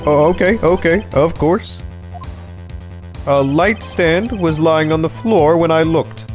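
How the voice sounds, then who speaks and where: A man's voice: a little high, takes it slowly. A man voicing a nervous, bumbling, whiny witness in a video game dub, stammering and flustered as he talks. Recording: music under the voice.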